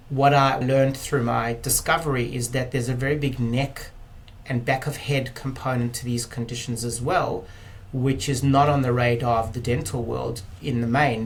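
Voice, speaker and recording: A middle-aged man with a South African accent, speaking at an ordinary pitch. He explains at length in a measured, unhurried way, pausing on fillers and restarting phrases. A faint hiss sits under the voice. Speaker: guest, clinic director. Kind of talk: interview talk.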